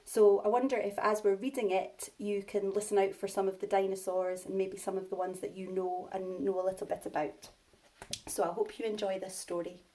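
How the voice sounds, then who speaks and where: A woman speaking as a primary school teacher, addressing and reading to young children in simple, expressive language. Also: mid-range voice, fairly quick. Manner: simple, child-directed delivery.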